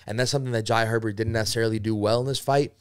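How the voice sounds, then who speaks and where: A young man from Spain hosting a casual solo podcast, talking fast and loose with swearing and frequent asides into a boom-arm studio mic in a small home studio. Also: fairly even intonation, smooth, no room sound. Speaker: MMA podcast host. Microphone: studio mic on boom arm, headphones.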